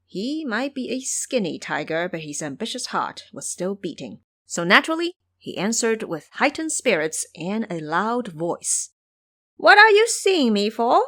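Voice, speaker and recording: A woman narrating a scripted audio drama as an expressive storyteller. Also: medium pitch, normal speed, few pauses.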